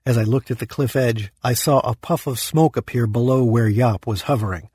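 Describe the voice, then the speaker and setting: A man's voice: mid-range voice, normal speed, noisy background, lightly acted. An actor playing a scheming, anxious underling who tells his own story in a first-person audio drama. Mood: tense, anxious. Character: scheming damned man, anxious, self-pitying, ambitious underling.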